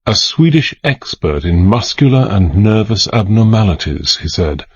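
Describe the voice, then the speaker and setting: An actor playing a British gentleman adventurer, dry and weary, in a radio drama that he also narrates in the first person. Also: fairly low pitch, big pitch swings, normal speed, breathy edge, lo-fi sound. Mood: reflective narration.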